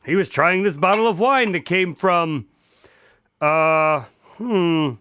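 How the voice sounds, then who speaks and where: An actor playing a genial, excitable detective's sidekick in a radio drama, earnest and easily flustered. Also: male voice, sits high, slow, clear, resonant tone, exaggerated voice. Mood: puzzled.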